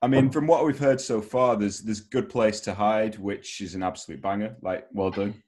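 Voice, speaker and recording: Young man, lowish voice. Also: steady tone, moderate pace, a little mellow. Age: young adult. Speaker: interviewer. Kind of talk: casual interview chat. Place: bedroom. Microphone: headset on video call.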